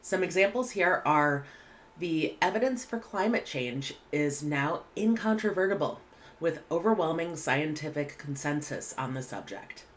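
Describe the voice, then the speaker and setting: A woman, teaching English vocabulary in a clear, measured way with casual asides, recorded at home on a webcam. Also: deep voice, a little hiss or hum. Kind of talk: vocabulary lesson. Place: home room indoors.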